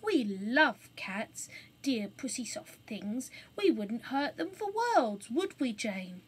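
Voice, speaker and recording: A woman voicing a gentle, easily worried young girl in a children's storybook, earnest and pleading in manner. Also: fairly high pitch, big pitch swings, slow pace, slightly rough, full character voice.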